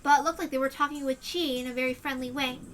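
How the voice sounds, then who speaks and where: A woman's voice: high-pitched, fairly even intonation, fast, fairly bright tone, faint music behind. Rena, a woman voicing a paranoid teenage girl. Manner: earnest, tense conviction. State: hoarse. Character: paranoid teenage girl narrator, convinced and intense.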